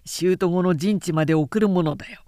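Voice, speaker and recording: A woman's voice: lowish voice, moderate pace, warm tone, no room sound, studio quality, natural voice. A woman voicing a proud, boastful young warlord husband in a historical audiobook, casual and self-assured. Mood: boastful, indulgent.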